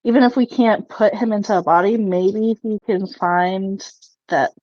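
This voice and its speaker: A woman's voice: medium pitch, a little slow, dull audio. A woman roleplaying in a tabletop game, speaking casually and in an earnest, tentative way. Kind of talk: casual in-character discussion.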